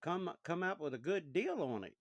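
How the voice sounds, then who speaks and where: A man's voice: fairly high pitch, sing-song, moderate pace, dry recording, pitched above the performer's own voice. A man voicing a kindly father who coaxes his young son, in an easygoing, persuasive way, with a dry sound. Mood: coaxing.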